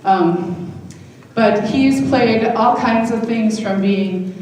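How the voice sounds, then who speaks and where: A woman's voice: a little high, takes it slowly, darkish tone. A woman, likely middle-aged, giving an informal, affectionate thank-you speech as a school drama director. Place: large indoor hall at a live event. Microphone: distant event microphone. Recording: very echoey hall from far off, faint noise.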